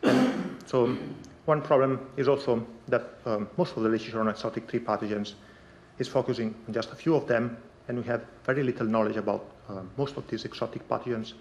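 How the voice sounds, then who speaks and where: A man presenting a scientific conference talk in a lecture hall, measured and pausing often with ums. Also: mid-range voice, darkish tone, a little hiss or hum. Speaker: conference speaker.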